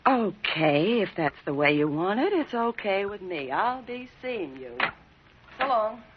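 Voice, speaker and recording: A woman's voice: medium pitch, big pitch swings, a little slow, slight background noise. An actress playing a scheming, sarcastic female racketeer in 1940s radio crime drama, brassy, slangy and needling. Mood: coolly threatening. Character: hard-boiled, scheming, sarcastic racketeer woman. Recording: dull vintage radio recording.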